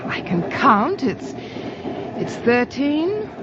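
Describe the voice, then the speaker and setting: Muffled old radio recording with noise, sweetly indignant scheming wife, fairly high voice. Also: female voice, big pitch swings, drawn-out words, exaggerated voice. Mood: defensive. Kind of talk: old-time radio drama acting.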